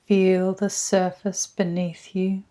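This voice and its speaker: A woman guides a meditation in a very unhurried, measured voice. Her tone is mellow and hushed, staying quite even in pitch. She pauses often between short, soothing phrases, with some breathiness. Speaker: guided meditation leader, energy healer. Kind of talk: slow scripted guided meditation.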